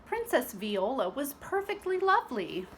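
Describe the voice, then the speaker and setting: A woman's voice: sits high, sing-song, moderate pace, slight background noise. A woman narrating a children's storybook expressively, with teacherly asides to young listeners. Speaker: storybook reader.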